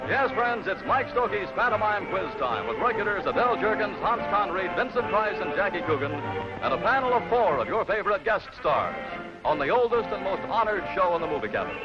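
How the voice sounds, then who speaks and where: A man's voice: high-pitched, very animated, fairly quick. An American man announcing a 1950s television show. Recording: muffled old television broadcast. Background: loud music.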